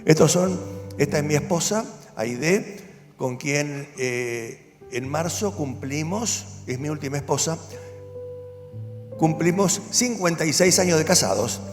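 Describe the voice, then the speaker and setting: A pastor in his seventies gives a personal testimony to a gathered congregation. His delivery is earnest and storytelling, and it firms up into conviction at times. The voice rings out with a long reverb through a large hall, with music playing clearly behind him. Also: fairly high pitch, expressive, takes it slowly. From Argentina. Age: 70s. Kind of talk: personal testimony at a church event.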